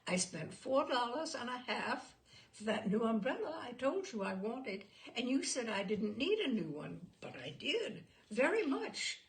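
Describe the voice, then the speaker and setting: A woman's voice: on the high side, big pitch swings, a little slow. A woman voicing a chatty, unflappable wife who insists and justifies herself in a story reading. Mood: insistent. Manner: rambling, insistent, self-assured.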